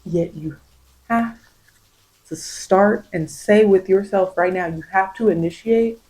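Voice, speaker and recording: Lady tarot reader w a mid pitched voice, off the cuff spiritual guidance, encouraging, says things twice to make the point. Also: slow, warm tone. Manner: encouraging, repeats phrases for emphasis. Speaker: tarot reader and ASMR host. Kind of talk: improvised spiritual tarot guidance addressed to listener.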